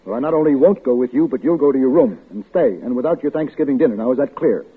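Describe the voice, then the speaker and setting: An actor as a husky, dry-witted radio dad. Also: male voice, medium pitch, fast talker, natural voice. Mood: stern. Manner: teasing, firm and paternal. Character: dry-witted father, patient then stern. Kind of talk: 1950s radio drama acting. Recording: tinny old radio broadcast.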